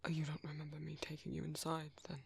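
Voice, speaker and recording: A British man playing a gentle, protective vampire priest in an ASMR roleplay, speaking in hushed, hesitant, soothing whispers. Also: on the high side, monotone, fast talker, creaky, husky, full character voice. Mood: hesitant, apologetic. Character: gentle, soft-spoken male vampire priest, protective caretaker.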